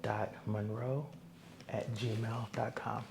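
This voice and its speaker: A man's voice: fairly low pitch, little pitch movement, takes it slowly. A man playing an easygoing patient in an ASMR medical roleplay, giving brief, agreeable answers in a laid-back way. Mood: relaxed, agreeable. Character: easygoing adult male patient at a routine checkup. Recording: roomy sound with clear background noise.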